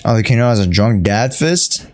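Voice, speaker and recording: A man's voice: on the low side, lively intonation, moderate pace. A young man giving casual, slangy live commentary as a game streamer. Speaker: game livestreamer.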